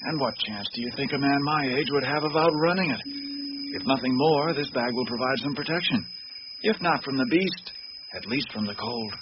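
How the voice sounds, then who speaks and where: An actor playing an older male captain in a radio drama, a calm, patient investigator with a dry, matter-of-fact manner. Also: medium pitch, normal speed, lightly acted. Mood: dry, unruffled. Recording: very noisy, dull radio drama recording.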